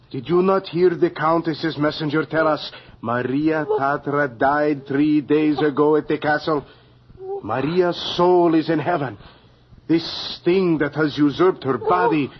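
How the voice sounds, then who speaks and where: An actor playing a merciless, zealous vampire slayer, commanding and declamatory, in a radio horror drama. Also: male voice, fairly high pitch. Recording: muffled radio recording, crowd behind.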